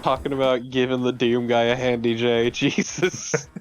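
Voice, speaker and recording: A man with a mid-pitched voice gives casual game commentary. He is blunt and loose in how he talks, with faint music behind him. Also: flat delivery, normal speed. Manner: blunt, sweary. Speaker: let's play co-commentator. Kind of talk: casual chat.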